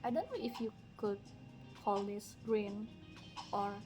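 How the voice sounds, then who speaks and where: Soft-spoken woman from indonesia, english not her first language, slow thinking-out-loud coloring chat, music playing under her. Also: medium pitch. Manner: slow, musing, talks while working, light laughs. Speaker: coloring livestreamer. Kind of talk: relaxed coloring livestream chat. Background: background music.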